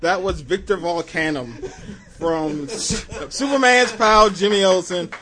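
A man's voice: high voice, unhurried, crowd noise. A man bantering casually as a panelist on a podcast.

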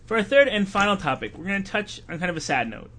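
A man hosting a casual podcast panel discussion, thinking aloud in a halting way. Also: sits high, sing-song, noisy background. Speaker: podcast host.

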